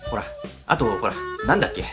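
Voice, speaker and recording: A woman playing a sly, cowardly office worker who flatters and schemes in a web radio drama. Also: very deep voice, quick pace, over music, exaggerated voice. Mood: breezy scheming.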